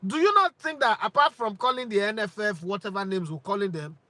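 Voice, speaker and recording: A man in his forties from Nigeria with a Nigerian accent, hosting his sports channel with lively rhetorical questions and bits of Pidgin. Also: sits high, fairly quick, no echo. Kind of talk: opinionated talk to camera.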